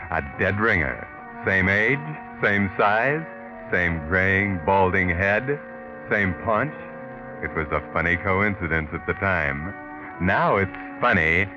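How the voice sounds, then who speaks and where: Low, sardonic old-radio mystery narrator. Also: male voice. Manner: taunting, insinuating, deliberate rhetorical questions. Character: sardonic, ominous male narrator taunting a would-be murderer. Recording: muffled 1940s radio recording with music.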